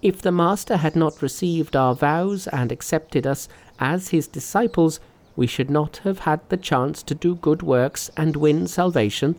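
A man's voice: fairly high pitch, measured pace, darkish tone, pitched above the performer's own voice. A man voicing a clever, cheerful monkey from a storybook, confident and emphatic in his reassurance. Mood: earnest, uplifted. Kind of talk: storybook character voice.

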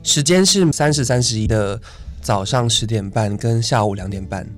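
A young man with a Taiwanese Mandarin accent chatting in a halting way as a performer guest in a radio studio. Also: mid-range voice, soft background music. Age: young adult. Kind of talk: casual interview chat.

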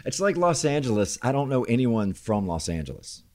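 A man's voice: mid-range voice, sing-song, moderate pace, darkish tone. A man in his forties with a Southern accent, hosting a casual podcast interview, chatty and jokey. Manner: chatty, jokey, laughs often. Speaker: podcast host.

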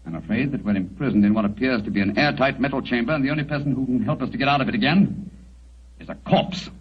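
An actor playing a shrewd, unruffled British detective with a dry wit in a 1940s radio drama. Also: male voice, mid-range voice, fast talker, lightly acted. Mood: coolly composed. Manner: crisp, wry, urbane. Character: shrewd, unruffled English bachelor detective, dryly witty. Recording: dull, noisy old 1940s radio recording.

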